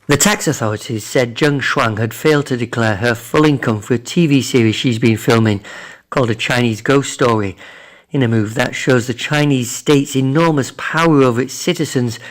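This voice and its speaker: A male foreign correspondent at a middle pitch reads his report from abroad. His delivery is steady and formal, typical of scripted broadcast news. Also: normal speed.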